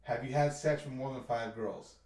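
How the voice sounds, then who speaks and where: A man's voice: mid-range voice, steady tone, normal speed, reverberant, poor audio. A man co-hosting a podcast, quizzing his co-host in a jokey, teasing way. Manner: jokey, teasing, loud asides.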